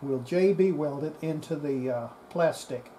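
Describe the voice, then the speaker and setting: Slow-talking, mellow man at work. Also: on the high side. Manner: halting, muttering to himself while working. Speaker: hobbyist restorer presenting a repair. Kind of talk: unscripted hands-on workshop demonstration. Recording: steady background noise.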